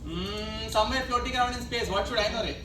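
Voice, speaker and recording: A woman's voice: fairly high pitch, fast talker, lightly acted. A young woman with an Indian English accent playing a cocky, sulky male former student in an amateur stage comedy, arguing in an insolent, whiny way. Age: young adult. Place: indoor college event stage, distant mic. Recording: echoey, noisy hall from far off.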